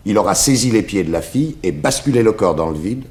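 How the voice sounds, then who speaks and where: An actor in a 1960s radio serial playing a confident, methodical private detective who explains things with assurance. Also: male voice, on the low side, animated, fast talker, a little mellow, natural voice. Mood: assured, explaining. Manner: assured, explanatory, crisp deductions.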